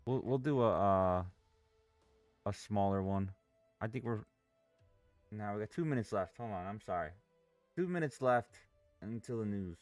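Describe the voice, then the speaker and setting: A man's voice: lowish voice, animated, normal speed, long pauses, dry recording, faint music behind. A man streaming live trades, talking casually, restless and impatient, swearing freely as he talks. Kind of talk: casual live trading commentary.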